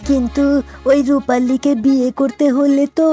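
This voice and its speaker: A woman's voice: high voice, level tone, moderate pace, mellow, faint music behind, close to an everyday voice. A woman voicing a poor, lovesick male cartoon fox who pleads, boasts and exclaims melodramatically. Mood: eager, pleading. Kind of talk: cartoon voice acting.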